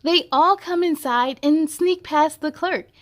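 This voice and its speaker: A woman's voice: high-pitched, measured pace. A woman reading a picture book aloud for children, expressively, addressing young listeners with questions and exclamations. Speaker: host of children's read-aloud story time.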